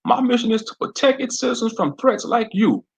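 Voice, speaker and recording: A man's voice: extremely high pitch, lively intonation, brisk, vocal fry, pitched above the performer's own voice, exaggerated voice. A man voicing a bullying small-town sheriff with a Southern drawl, sneering and menacing. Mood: taunting.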